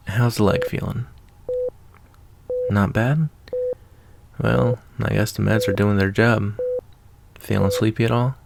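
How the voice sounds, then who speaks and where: A man playing a caring, folksy wasteland doctor in an ASMR roleplay, talking in an unhurried, reassuring, slightly hesitant way. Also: fairly low pitch, creaky, very noisy, full character voice. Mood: gentle, reassuring. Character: laid-back, fatherly wasteland doctor, gruff but caring adult man. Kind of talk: ASMR roleplay, softly acted monologue.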